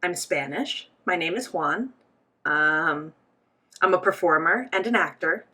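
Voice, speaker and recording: A woman voicing the interpretation of a signed interview, speaking for both host and guest in a measured first-person delivery. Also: on the low side, expressive, sharp tone.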